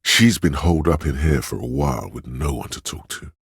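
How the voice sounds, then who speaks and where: A man voicing a coaxing, insinuating inner voice of a detective's mind in a video game, giving deliberate advice in a gravelly, husky tone. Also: extremely low pitch, expressive, no echo, studio quality, heavily acted. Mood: cautioning. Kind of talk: scripted game narration.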